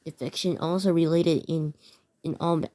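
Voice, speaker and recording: A woman with a fairly low, very mellow voice reads out amateur emergency bulletins as a narrator. The delivery is halting, with words often stumbled over and said again. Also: fairly even intonation. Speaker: narrator of a fictional emergency alert scenario.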